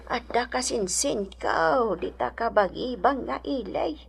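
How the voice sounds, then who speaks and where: A woman's voice: a little high, lively intonation, vocal fry, a little hiss or hum. A woman playing a poor, sickly, grateful old village woman with an Ilocano accent in a radio drama, speaking plaintively in a frail voice. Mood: weary, plaintive. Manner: slow, plaintive. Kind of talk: Filipino radio drama acting. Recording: radio drama with music underneath.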